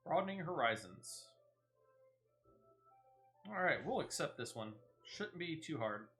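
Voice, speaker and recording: Bright-voiced man giving casual gameplay commentary. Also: on the high side, sing-song, a little slow, soft background music. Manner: thinks aloud, narrating own moves. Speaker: gaming streamer.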